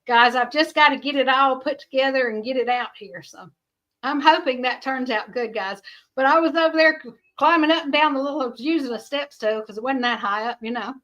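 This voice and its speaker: A middle-aged woman with a high-pitched voice, rambling chattily to viewers about her homestead, often laughing. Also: brisk, fairly bright tone, dull audio. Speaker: homestead vlogger. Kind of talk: casual chat to viewers.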